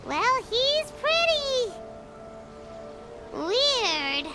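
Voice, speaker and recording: Cheeky little fairy companion: piercingly high, childlike, slow, anime game, loud music underneath. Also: female voice, big pitch swings, exaggerated voice. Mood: musing. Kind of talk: anime video game character dialogue.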